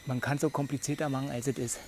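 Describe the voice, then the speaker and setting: A man's voice: mid-range voice, level tone, quick pace, close to an everyday voice. Breezy, slangy village guy acted by a man, noisy background. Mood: breezy, insistent. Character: brash, self-assured village man flying his drone. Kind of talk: naturalistic radio drama acting.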